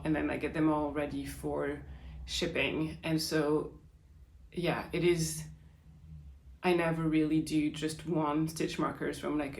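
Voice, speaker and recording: Chatty French-accented woman in a roomy space. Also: sits low. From France. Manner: chatty, lots of fillers and likes. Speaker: podcast host. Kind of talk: casual Q&A chat. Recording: roomy echo.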